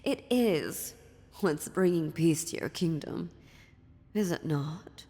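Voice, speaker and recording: A woman's voice: low voice, lively intonation, mellow, full character voice. A woman playing an ancient, dominant demon in a fantasy audio roleplay, mocking and menacing, with a condescending, theatrical delivery. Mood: smug. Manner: slow, condescending, sardonic, theatrical. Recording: long reverberant hall, music underneath.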